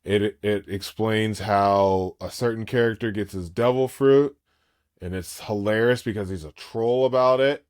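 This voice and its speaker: A man's voice: on the low side, measured pace, a little mellow. Enthusiastic man in his thirties. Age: 30s. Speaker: podcast co-host. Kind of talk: casual fan chat.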